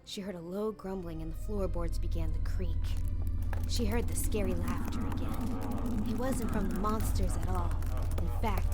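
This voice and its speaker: A woman's voice: medium pitch, close to an everyday voice. A woman playing a dramatic young camper in a campfire audio drama, with an emphatic, theatrical way of talking. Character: dramatic young girl camper telling a spooky tale.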